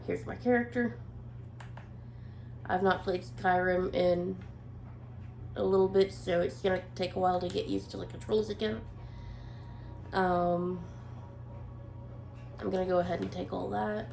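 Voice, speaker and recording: Woman w a stuffy nasal cold voice, kinda gravelly, talking slow with ums, echoey room. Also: medium pitch, slight background noise. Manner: relaxed, hesitant with many ums. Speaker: gameplay commentator. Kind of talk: casual let's play commentary. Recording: echoey room with faint ambience.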